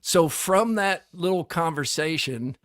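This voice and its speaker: A man's voice: fairly high pitch, lively intonation, a little slow, a bit bright, no room sound, clean studio sound. A middle-aged man co-hosting a casual talk show, telling stories and acting out quotes. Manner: storytelling, acts out quotes. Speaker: co-host. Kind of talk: casual chat.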